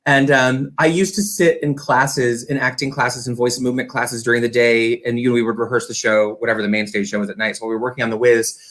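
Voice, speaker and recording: A man's voice: medium pitch, flat delivery, quick pace, hardly any pauses, fairly bright tone. A man speaking as an interview guest, a community theater director, chatting casually and explaining things with plenty of filler words.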